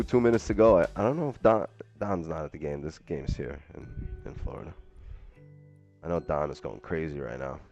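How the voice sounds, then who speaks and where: Very deep-voiced man chatting on stream. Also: dark tone. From Brooklyn, New York. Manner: rambling, chatty, says "like" often. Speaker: trading stream host. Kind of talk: casual live-stream chat. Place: home, living in Miami.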